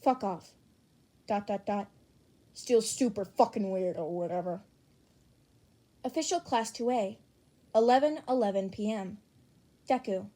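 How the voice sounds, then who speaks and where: A woman's voice: medium pitch, measured pace, pauses often, mellow. A young woman reading fan fiction aloud as narrator in an amateur audiobook style.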